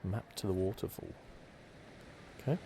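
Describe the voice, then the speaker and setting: A man giving relaxed, rambling ASMR commentary on a video game playthrough. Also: low-pitched, normal speed, noisy background. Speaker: gaming channel host. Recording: clear game ambience behind close commentary. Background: video game sounds.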